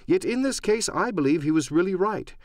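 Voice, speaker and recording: A man's voice: high-pitched, lively intonation, brisk, darkish tone, no echo, studio quality. A man reading scripted adventure-story narration as an audiobook narrator.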